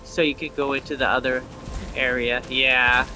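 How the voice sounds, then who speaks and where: Bright-voiced man giving casual game commentary. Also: medium pitch, level tone, moderate pace, faint music behind, low-quality recording. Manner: thinking aloud, speculating. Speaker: gamer host of a gameplay video.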